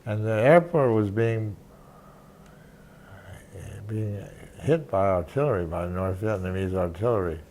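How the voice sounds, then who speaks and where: An older man, a veteran journalist, recounting memories in an interview, halting and restarting often. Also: fairly low pitch, darkish tone, slight background noise. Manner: halting, many restarts and fillers. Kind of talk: reminiscing interview.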